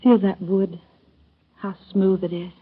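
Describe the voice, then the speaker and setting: Casting: a gentle, shy young sweetheart, thin tinny vintage radio sound. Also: female voice, medium pitch, level tone, slow, breathy edge. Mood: tender. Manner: soft, gentle, wistful. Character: shy young woman in love, soft-spoken. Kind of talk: 1930s radio drama acting. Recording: thin phone-like old radio recording.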